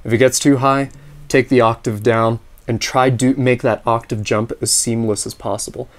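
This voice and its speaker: Slightly raspy man teaching ear training. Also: medium pitch, moderate pace. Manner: sings scales and intervals in solfege between explanations; relaxed, practical explaining. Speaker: music teacher. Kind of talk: instructional talk with sung solfege drills.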